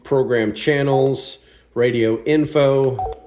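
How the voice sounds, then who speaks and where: A man's voice: medium pitch, steady tone, slow pace, a little mellow, a little hiss or hum. A man hosting a casual hands-on product review, speaking in a blunt, informal, opinionated way. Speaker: product reviewer and channel host.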